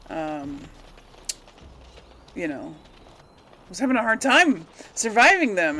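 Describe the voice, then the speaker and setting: A woman's voice: on the low side, a bit bright, slight background noise. A woman giving casual, rambling video game commentary to her audience, chatting to the characters as she plays. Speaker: host of a video game let's play.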